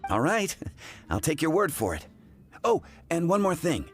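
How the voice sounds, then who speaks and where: A man's voice: fairly high pitch, wildly swooping pitch, a little slow, gravelly, faint music behind, heavily acted. A man playing an affable master bartender in a video game, teaching beginners in an easygoing, instructive manner. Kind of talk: video game character acting.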